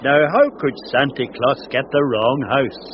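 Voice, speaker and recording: An actor playing a kind man posing as Santa in a radio drama, gentle and hearty, on dull old audio. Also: mid-range voice, animated, unhurried, a little hiss or hum, close to an everyday voice.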